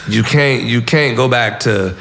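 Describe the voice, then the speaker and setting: Gravelly young man from California, talking casually. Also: mid-range voice, unhurried, a bit bright. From Southern California. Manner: slangy, informal. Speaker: musician guest. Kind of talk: casual interview, giving advice.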